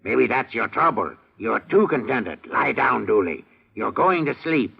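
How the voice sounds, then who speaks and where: Gravelly, husky comic hypnotist. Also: male voice, mid-range voice, very animated, measured pace, heavily acted. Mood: coaxing, commanding. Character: bossy amateur hypnotist man in comic ad. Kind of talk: old radio comedy commercial acting. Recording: dull old radio recording.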